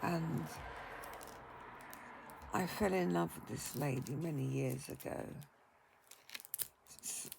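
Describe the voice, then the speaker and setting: An older woman with a croaky, husky voice who sounds tired. She talks in a casual vlog, slowly and hesitantly, and takes deep breaths before she speaks. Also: noisy background. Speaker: vlogger.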